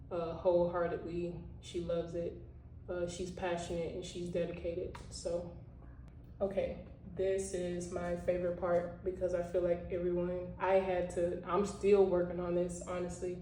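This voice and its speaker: A woman's voice: fairly low pitch, warm tone, slight background noise. A woman giving a casual motivational talk to her viewers, full of "you know" and "like.". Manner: conversational, heavy use of "you know" and "like". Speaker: vlogger. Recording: roomy echo, voice a bit far from the mic.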